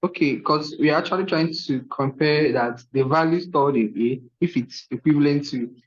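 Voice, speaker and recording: A man's voice: fairly high pitch, normal speed. Man on a dull-sounding call line. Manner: tentative, restarting. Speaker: student on a call.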